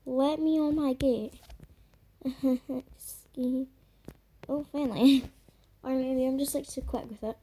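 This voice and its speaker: Woman, high voice, mellow tone, croaky, rambling gameplay chatter. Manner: rambling, filler-heavy, trails off into murmurs. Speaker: gamer. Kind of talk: casual gameplay commentary.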